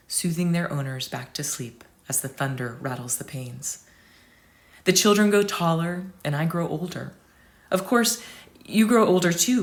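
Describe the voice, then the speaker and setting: A loving aging family dog, gentle and devoted, tells her own story, read aloud by a woman. The voice sits low and moves softly and slowly, as in a storybook. Tender throughout, never acted as a creature. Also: close to an everyday voice. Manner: soft, tender, slow. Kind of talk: storytelling reading.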